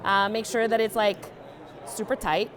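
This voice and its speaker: A young woman tying a fishing fly in a joking, deadpan demonstration, with many ums and uhs. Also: mid-range voice, moderate pace. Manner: deadpan, playful, many fillers. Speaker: guest fly tier.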